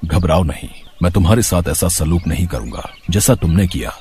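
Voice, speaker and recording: A man playing a bold, protective god in human guise, firm, righteous and reassuring, in an animated moral story. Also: low-pitched, a little fast, slightly rough, exaggerated voice. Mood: calm, admonishing.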